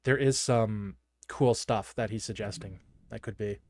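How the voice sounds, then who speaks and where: A man's voice: on the low side, measured pace, darkish tone, no echo. A man co-hosting a casual, chatty discussion show, given to giggly laughter. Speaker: podcast co-host. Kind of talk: casual discussion of a horror anime episode.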